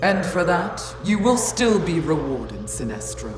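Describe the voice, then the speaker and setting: An actress voicing an imperious, merciless goddess villain in a video game, speaking in a regal, cold, commanding way. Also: female voice, on the low side, sing-song, unhurried. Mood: regal, pleased. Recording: long echoing reverb, music behind.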